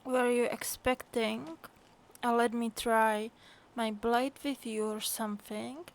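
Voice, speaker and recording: A woman streamer reacting live to a game with playful, mocking asides. Her slightly high voice is gravelly and slow, drawn out in amused remarks. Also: level tone.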